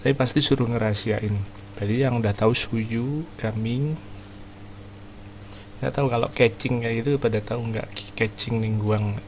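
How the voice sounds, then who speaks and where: A man's voice: medium pitch, fairly even intonation, a little fast, a little hiss or hum. A man with an Indonesian accent giving casual, slangy, offhand gameplay commentary as a streamer. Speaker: gaming streamer. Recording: game music behind the voice.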